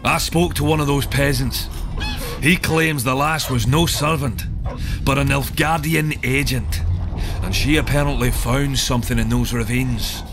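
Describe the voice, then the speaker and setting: An actor playing a seasoned dwarf scout in a video game, telling things in a dry, knowing, measured way. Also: male voice, medium pitch, close to an everyday voice. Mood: informative, knowing. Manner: wry, measured storytelling. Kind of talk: video game cutscene acting. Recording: music behind the voice.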